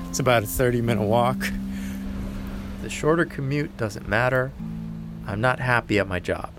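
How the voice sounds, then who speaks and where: A man hosting and narrating, asking earnest, probing questions in a measured, reflective way. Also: medium pitch. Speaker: host and narrator. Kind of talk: reflective documentary narration and interviewing. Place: lives in a city neighborhood.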